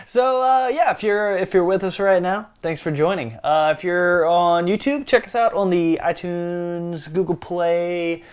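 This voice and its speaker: A man's voice: high voice, a little slow, few pauses, clean tone. A young man co-hosting a casual gaming podcast, joking and upbeat. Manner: joking, upbeat, fillers and restarts. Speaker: podcast co-host.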